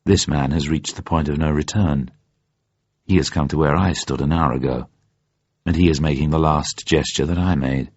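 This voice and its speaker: A man's voice: a bass voice, fairly even intonation, moderate pace. A man voicing a cool, grimly resolute spy at the gambling table in a story reading, controlled and terse. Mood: grim, intent. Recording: dull, muffled, roomy radio recording.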